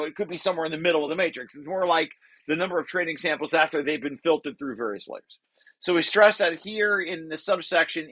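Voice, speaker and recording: A man presenting a technical read-through, shifting into informal, halting explanations and often correcting himself. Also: a little high, sharp tone.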